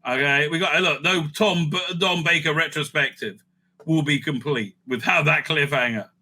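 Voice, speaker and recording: A man giving casual, rambling fan commentary as he ranks things, excitable and sweary, sometimes muttering to himself. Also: a little high, normal speed. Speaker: host of a fan ranking show.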